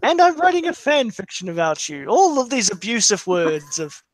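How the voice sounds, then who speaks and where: A man's voice: high voice, big pitch swings, moderate pace, no room sound. A man joining a stream chat, joking in mock-sarcastic tones. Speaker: stream guest. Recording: noisy mic with clicks.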